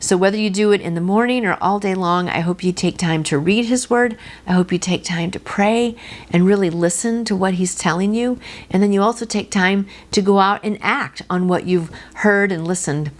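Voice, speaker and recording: A woman's voice: mid-range voice, moderate pace. A middle-aged woman hosting a devotional, reading and then encouraging the listener in an earnest, personal, informal way. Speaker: devotional host and reader.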